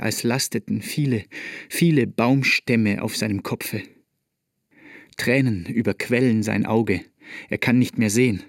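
A man narrates a literary radio play in a grave, deliberate and dramatic way. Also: on the low side, no room sound, clean studio sound.

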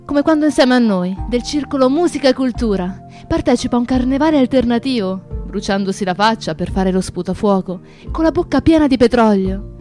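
A woman's voice: fairly high pitch, lively intonation, brisk, mellow, faint music behind, lightly acted. A woman playing a comrade who bears witness in a radio drama, in a declamatory, incantatory storytelling manner. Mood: nostalgic, fervent. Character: woman comrade bearing witness, tender yet accusing storyteller. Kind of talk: dramatic radio theatre narration.

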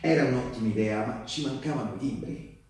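A male actor playing an earnest partisan and postal worker, telling his wartime story in a halting, conversational stage monologue. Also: medium pitch, darkish tone, lightly acted. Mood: conspiratorial. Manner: conversational, halting, with rhetorical tags and repetitions. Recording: echoey live theatre, distant microphone.

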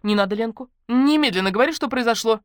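A woman voicing an excitable, anxious and demanding young woman in an audiobook, with blunt, exclamatory delivery. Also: a little high, fast, no room sound, studio quality, voice pushed up, natural voice. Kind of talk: audiobook character dialogue.

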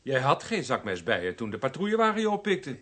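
A man's voice: mid-range voice, wildly swooping pitch, a little fast, a bit bright. An actor playing a blunt male police sidekick to an inspector in a radio play. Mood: blunt, sceptical. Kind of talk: Dutch radio play acting.

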